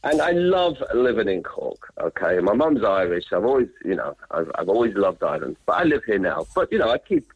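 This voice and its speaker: A man from London with a London accent, calling in to a radio talk show and chatting with quick, lively enthusiasm. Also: fairly low pitch, slightly rough. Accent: London English. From London, England. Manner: chatty, animated, laughs easily. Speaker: caller. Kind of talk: phone-in chat. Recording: phone line.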